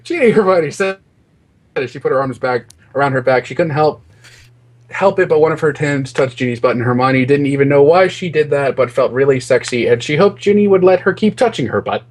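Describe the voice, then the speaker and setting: A man's voice: on the high side, expressive, a little fast. A man giving a comic dramatic reading of fan fiction in a mock-earnest manner, as a podcast host. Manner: mock-earnest, often breaking into laughter.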